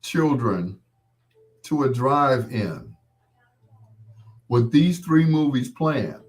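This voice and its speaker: A man's voice: medium pitch, very animated, very slow. An American man in his sixties hosting a casual live chat, reminiscing in a rambling, conversational way and repeating points for emphasis. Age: 60s. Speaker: host.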